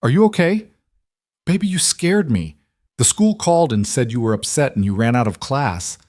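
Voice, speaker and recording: A man's voice: mid-range voice, lively intonation, normal speed, a little mellow, no echo, clean studio sound, pitched above the performer's own voice, lightly acted. A man reading an audiobook voices a worried, protective father with an urgent, anxious manner. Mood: panicked.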